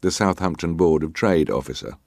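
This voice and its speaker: A very low-pitched naval officer gives evidence in a historical drama. He is terse and guarded, answering with clipped formality. The sound is dry and close, free of room echo. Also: a little fast, gravelly, a little mellow. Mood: dutiful, firm. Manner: clipped, formal, matter-of-fact. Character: British ship's officer testifying, terse, guarded, formally respectful. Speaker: actor reading a witness's testimony. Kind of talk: dramatised reading of hearing testimony.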